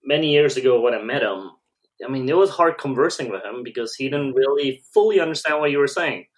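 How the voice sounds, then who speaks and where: Man, medium-pitched voice, casual podcast co-host in conversation. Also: animated, fairly quick, low-quality recording. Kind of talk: casual chat.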